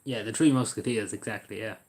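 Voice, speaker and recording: A man's voice: on the low side, fast talker, a little mellow. A man chatting casually on a livestream, rambling and hesitant with lots of fillers.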